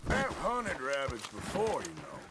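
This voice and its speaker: An actor playing a gruff, grudging American outlaw gunslinger in a video game, terse and blunt with a drawl. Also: male voice, a little high, sing-song, measured pace, very noisy, full character voice. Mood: dry. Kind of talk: dramatic video game acting. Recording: loud outdoor game sound effects behind.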